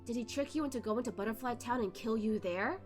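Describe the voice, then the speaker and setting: A woman acts out a troubled young male cultivator in a web novel read-aloud. He sounds disbelieving and probing, in a high register with faint music underneath. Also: fairly quick, darkish tone, natural voice. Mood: questioning.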